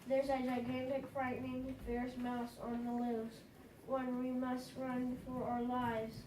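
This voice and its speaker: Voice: high-pitched, completely flat delivery, measured pace, a little mellow. A woman playing a frightened, dramatic elephant in a children's readers' theatre play. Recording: echoey, noisy room, distant microphone.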